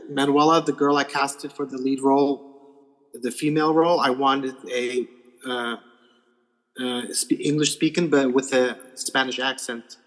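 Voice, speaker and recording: A man's voice: medium pitch, a bit bright, in a large hall, poor audio. A man who directs films, talking loosely in a panel chat with many restarts over a video call. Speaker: film director.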